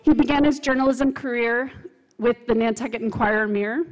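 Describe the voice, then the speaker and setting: Woman reading an introduction in a reverberant hall. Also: fairly high pitch. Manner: measured and formal, reading from notes. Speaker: festival committee member introducing speakers. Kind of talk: reading a prepared introduction at a podium. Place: stage of a hall with an audience. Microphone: podium mic on a stand.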